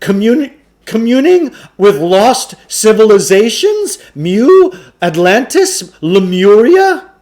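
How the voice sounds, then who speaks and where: A man voicing a pompous, flattering huckster shopkeeper from a storybook, in theatrical salesman patter with hesitant asides. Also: sits high, slow, voice pushed up, heavily acted. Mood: grandly coaxing. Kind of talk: storybook character voice.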